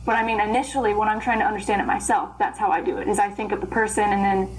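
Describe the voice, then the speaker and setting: A young woman, an interpreter, chatting in a casual interview, rambling and animated as she explains herself. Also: mid-range voice, rapid-fire, a little hiss or hum, rough recording. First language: English. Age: young adult. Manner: rambling, animated, trails off into laughs. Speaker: guest, Korean interpreter.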